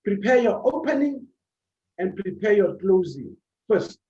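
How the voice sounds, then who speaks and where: A man with a fairly high voice delivers a motivational talk, slowly and deliberately. He builds his points from short, punchy phrases and repeats them for emphasis. The sound is dull and echoes through a room. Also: sing-song, darkish tone. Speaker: public speaking club speaker. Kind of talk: motivational speech.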